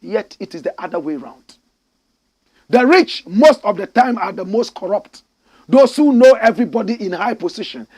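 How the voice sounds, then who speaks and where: Male commentary host, higher voice, preachy and emphatic, talks straight to his audience. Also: a little mellow, slightly rough. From Ghana. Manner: preachy, emphatic, addresses listeners directly. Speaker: political commentary show host. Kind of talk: impassioned solo political commentary.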